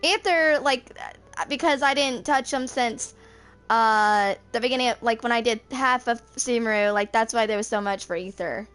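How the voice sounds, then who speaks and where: A woman's voice: on the high side, normal speed, fairly bright tone. A woman in her twenties chatting casually to viewers as a streamer, informal and rambling. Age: 20s.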